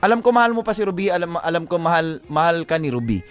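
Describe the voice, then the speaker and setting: A man's voice: a little high, sing-song, fast talker, clean tone, soft background music. A Filipino man co-hosting a live show, chatting casually and giving earnest love advice, repeating phrases for emphasis. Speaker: radio show co-host giving advice to letter senders.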